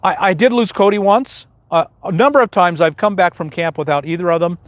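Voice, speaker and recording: A man's voice: sits high, brisk, no room sound, lo-fi sound. An older man with a big, carrying voice hosts a casual live question-and-answer stream, rambling with many fillers and restarts.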